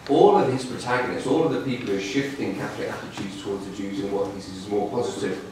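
A man with a lowish voice talks through ideas in an academic discussion. He is heard from some distance in a strongly reverberant room, with faint noise behind him. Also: fast. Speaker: conference discussion participant. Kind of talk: thoughtful spoken academic discussion. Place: conference room, recorded from audience. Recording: roomy echoing hall, distant mic, faint noise.

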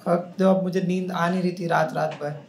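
A man's voice: sits high, level tone, moderate pace, vocal fry. A young man performing a stand-up comedy set on a club stage, chatting and teasing the audience. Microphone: handheld mic on a stand. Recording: roomy live club sound with crowd noise. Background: audience laughter.

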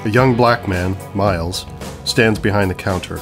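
A man's voice: fairly low pitch, normal speed, over music. A man reading scripted stage directions as the narrator of an audio play.

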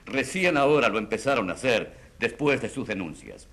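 An actor playing an aggrieved village schoolteacher on the witness stand in a radio drama, speaking plainly and bitterly. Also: male voice, medium pitch, expressive, normal speed, fairly bright tone. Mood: bitter, worried. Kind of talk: dramatic radio play acting.

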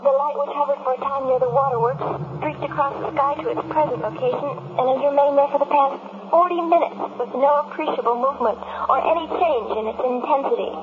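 An actor playing a horrified news reporter in a 1970s radio drama, giving a dramatic, halting eyewitness account over a field transmission. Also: high-pitched, fairly even intonation, narrow, tinny audio. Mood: shaken, grim. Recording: noisy, band-limited vintage radio broadcast.

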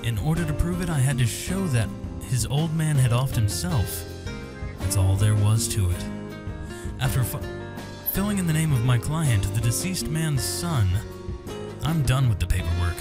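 Male gaming host, visual novel read-aloud, occasional word stumbles, medium pitch, loud guitar music behind. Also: lively intonation, moderate pace. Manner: stumbles over words and names, rereads. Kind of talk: reading visual novel text aloud with asides. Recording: music under the voice. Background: game music with guitar.

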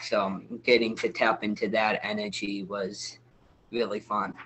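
Slow-talking young man, low voice, noisy call. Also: flat delivery, fairly bright tone. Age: young adult. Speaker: amateur actor on a panel. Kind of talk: casual recollection. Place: indoor, on a video call. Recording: noisy video call with clicks.

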